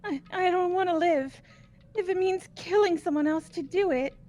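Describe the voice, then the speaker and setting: Woman voicing a very high-pitched timid student. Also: moderate pace, warm tone. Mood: distraught. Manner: hesitant, repeating words. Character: timid, guilt-ridden, soft-hearted high school student. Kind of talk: amateur game voice acting.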